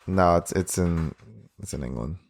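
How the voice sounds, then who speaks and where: A man's voice: deep voice, normal speed, crowd noise. A man with an American accent chatting casually as a podcast co-host, informal and slangy, often jumping in during debate among friends.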